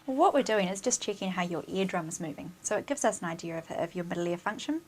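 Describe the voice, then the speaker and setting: A woman's voice: on the low side, animated, quick pace. A woman audiologist talking a client through steps in an informal, reassuring, conversational way. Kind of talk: conversational clinical explanation to a client. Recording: clear background noise, clicks.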